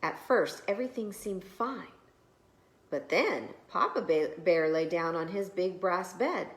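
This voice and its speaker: A woman's voice: on the low side, unhurried, reverberant. A woman preschool teacher reading a storybook aloud expressively to young children.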